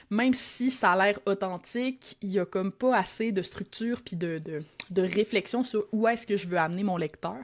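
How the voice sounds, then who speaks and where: A young woman with a Québécois French accent, a podcast guest and copywriting teacher, talking casually and reflectively with many fillers and restarts. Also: medium pitch. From Quebec. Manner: reflective, self-deprecating, frequent fillers and restarts. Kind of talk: casual conversational interview.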